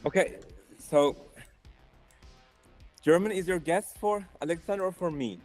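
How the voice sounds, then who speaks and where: A young man from Turkey with a Turkish accent, a guest chatting on a coding stream, hesitant with restarts and soft trailing phrases. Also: high voice, moderate pace. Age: young adult. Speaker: guest co-developer. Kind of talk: casual chat on a coding stream. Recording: faint music behind.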